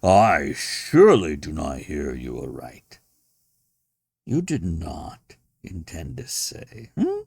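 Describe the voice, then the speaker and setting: A man voicing a genial, pompous older gentleman who runs a madhouse, in a dramatic storybook reading with theatrical, self-assured delivery. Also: low-pitched, creaky, no echo, heavily acted. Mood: astonished.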